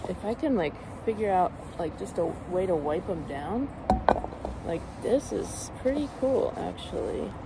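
Gravelly young woman chatting outdoors. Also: medium pitch, noisy background. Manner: chatty, thinks aloud with many fillers. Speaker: car-living vlogger. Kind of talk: casual vlog chat while camping. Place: outdoors in a forest campsite. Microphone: handheld phone camera at arm's length.

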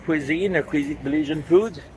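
A middle-aged man with a slightly high voice narrates a casual travel vlog. He talks straight to his viewers, pointing out sights as he goes. The recording is made outdoors on a handheld camera, with faint street noise. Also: a little fast, darkish tone. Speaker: travel vlogger. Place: outdoors on city streets and parking lots. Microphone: phone or camera at arm's length.

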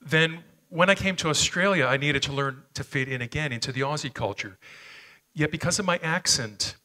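A middle-aged preacher with a Canadian accent, raised speaking Slovenian at home, shares personal stories from a church stage. His voice is bright and forward, picked up by a headset mic. He talks earnestly and often stops to find his words. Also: a little high, normal speed. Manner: earnest, personal storytelling with frequent hesitations. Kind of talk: sermon. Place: church stage, medium-sized hall.

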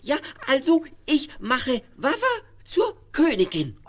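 A man acting a childish, bossy young dinosaur king in a children's radio play, excitable and whiny. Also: very high-pitched, slow pace, exaggerated voice. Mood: eager.

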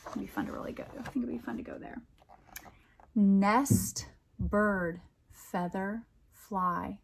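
A middle-aged American woman reads a picture book aloud, adding expressive, wondering comments for young listeners. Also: a little high, husky. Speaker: storytime reader and teacher.

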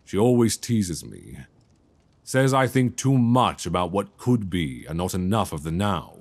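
A man playing a guilt-stricken, tender young prince in a romantic audio roleplay, halting, earnest and given to sighs. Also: low voice, sing-song, darkish tone, full character voice. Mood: anguished, self-blaming.

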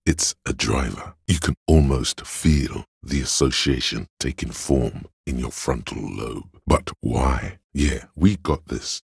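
A man voicing a detective's coolly analytical inner voice of logic in a video game, gravelly and husky, with measured, deliberate delivery. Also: a bass voice, dry recording, clean studio sound, heavily acted. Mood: quietly confident. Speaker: game voice actor. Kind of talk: scripted video game narration.

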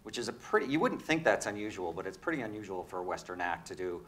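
Brisk confident man on a panel. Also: low voice, very animated, fairly bright tone, slight background noise. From New York. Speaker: panelist, concert promoter. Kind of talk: panel discussion. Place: conference auditorium stage. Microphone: handheld microphone.

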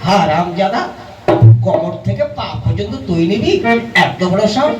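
A man's voice: high-pitched, expressive, moderate pace. A man playing a blustering, exasperated elder brother puppet who scolds in a folk comedy show. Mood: exasperated, scolding. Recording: echoey distant mic under loud music.

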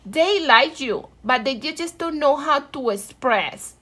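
A woman's voice: sits high, expressive, a little slow, sharp tone. A woman from Venezuela with a Venezuelan Spanish accent, giving a casual, encouraging tarot reading and addressing viewers affectionately. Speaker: tarot reader.

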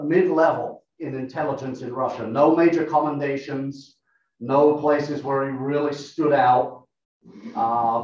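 A man with a non-American accent gives a measured, explanatory talk, often pausing on fillers. His voice sits in the middle range and sounds distant from the mic. The room is very echoey and the sound is dull and lo-fi. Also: medium pitch, a little mellow. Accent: non-American English. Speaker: guest speaker, professor. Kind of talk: lecture talk with slides. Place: meeting room.

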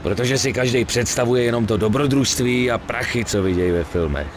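A man's voice: mid-range voice, noisy background, lightly acted. A man voicing a weary, streetwise middle-aged gangster in a Czech video game dub. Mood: weary, grumbling. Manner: colloquial, grumbling, swearing.

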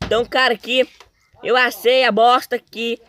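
Slightly hoarse young Brazilian male gamer. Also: extremely high pitch, flat delivery, normal speed, bright, forceful tone. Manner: informal, slangy. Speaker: gaming video host. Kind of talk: casual gameplay commentary.

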